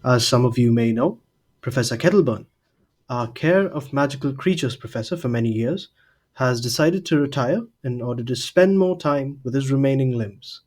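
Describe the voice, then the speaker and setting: A man playing an aged headmaster wizard in an audio drama, genial yet grave, giving measured formal oratory in a husky voice. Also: medium pitch, expressive, darkish tone, lightly acted. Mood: genial, welcoming. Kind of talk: fan audio drama, formal speech to a hall.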